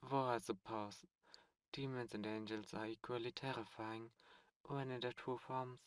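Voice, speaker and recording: A man voicing a suave, teasing male demon prince in an ASMR audio roleplay, soft-spoken and playfully menacing. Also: medium pitch, no room sound, close to an everyday voice.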